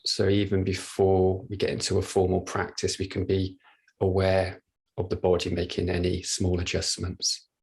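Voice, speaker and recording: Male meditation teacher, husky and low, flat and gentle delivery, unhurried guided practice. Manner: unhurried, gentle, with long pauses. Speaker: meditation teacher leading a body scan. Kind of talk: guided meditation, soft instruction.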